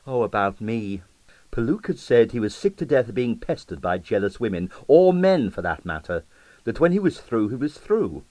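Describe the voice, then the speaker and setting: A man's voice: mid-range voice, big pitch swings, normal speed, dark tone, no room sound, natural voice, rough recording. Wry, candid young ballerina from a detective audiobook, read by a male narrator, confiding delivery, dry sound. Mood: wry, indignant.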